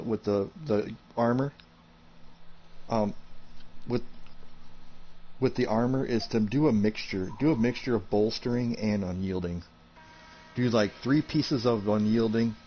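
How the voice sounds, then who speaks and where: Male gaming buddy, somewhat low voice, relaxed and halting, dull voice-chat sound.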